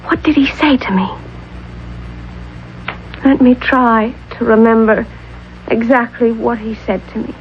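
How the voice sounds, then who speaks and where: A woman's voice: a little high, fairly even intonation, a little slow, long pauses, slight background noise, natural voice. An actress playing an earnest, headstrong woman scientist in a radio drama, urgent and emphatic. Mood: troubled. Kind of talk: 1970s radio drama acting. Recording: dull old radio broadcast recording.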